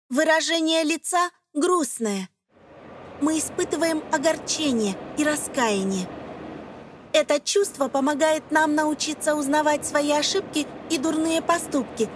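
Female narrator, high voice, for educational children's cartoon; simple explanatory scripted delivery, faint background noise.

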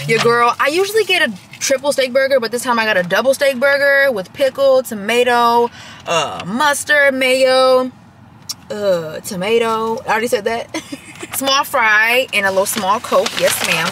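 A woman's voice: fairly high pitch, sharp tone, slight background noise. A woman of twenty chatting to her phone camera from a parked car, gushing and casual, often saying y'all. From Michigan. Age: 20s. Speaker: vlogger.

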